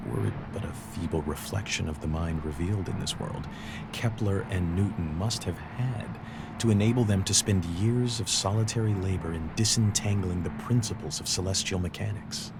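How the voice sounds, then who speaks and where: An actor reading philosophical quotations in a deliberate, earnest and reflective way, as an audio log in a video game. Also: male voice, sits low, creaky, over loud music. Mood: solemn, reflective. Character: solemn, reflective male reader of philosophical quotations. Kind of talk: measured literary quotation reading.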